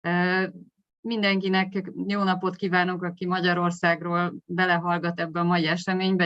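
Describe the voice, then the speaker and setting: Composed Hungarian woman, smooth flat voice. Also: on the low side, no echo, dull audio. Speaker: co-organizer and moderator. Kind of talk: formal welcome. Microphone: video call.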